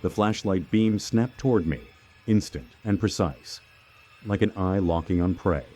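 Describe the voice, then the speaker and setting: A man narrating a scripted first-person horror story in a measured, dramatic, suspenseful manner. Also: low voice, dark tone. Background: background music.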